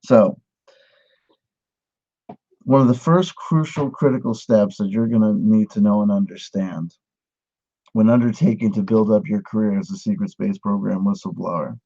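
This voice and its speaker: A man giving a satirical mock lesson, with a mock-earnest pitchman delivery. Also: fairly even intonation, moderate pace, gravelly, long pauses, mellow, slightly rough, dull audio. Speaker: mock instructor. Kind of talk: satirical monologue.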